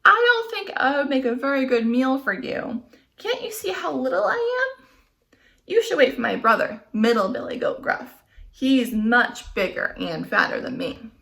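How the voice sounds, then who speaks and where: A woman voicing a little male billy goat, young, timid but clever, with a high piping voice. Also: very animated, normal speed, gravelly, fairly bright tone, full character voice. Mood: nervous.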